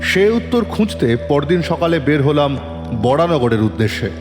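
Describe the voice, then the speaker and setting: A man playing a composed, probing police inspector who narrates his case in a dramatic, deliberate audio-drama style. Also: fairly high pitch, sing-song. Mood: suspenseful, measured. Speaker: narrator and lead actor in an audio story. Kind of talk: dramatic first-person detective story narration. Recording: long reverb, music underneath.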